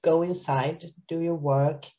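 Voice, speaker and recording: A woman interviewing a guest in a calm, reflective way, with slightly non-native phrasing. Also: low-pitched, slow. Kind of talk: casual conversational interview.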